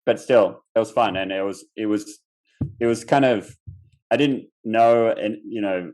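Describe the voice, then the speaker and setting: A man's voice: fairly low pitch, measured pace, darkish tone. A young man from Australia, a guest on an interview podcast, telling his story casually with lots of fillers and restarts. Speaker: guest entrepreneur.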